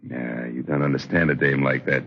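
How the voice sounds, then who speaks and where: Very deep-voiced, surly gangster. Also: male voice, steady tone, a little fast. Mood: surly, defensive. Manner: gruff, slangy, terse. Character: surly, defensive male gangster, heavy-set and lovestruck. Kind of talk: 1940s radio crime drama acting. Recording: dull 1940s radio recording.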